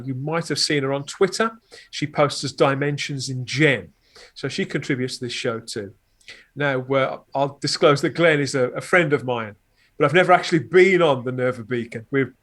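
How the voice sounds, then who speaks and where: Guy on a podcast reviewing stuff, slightly high voice, rambly, keeps rewording, dry understatement. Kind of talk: casual chat, reviewing podcasts.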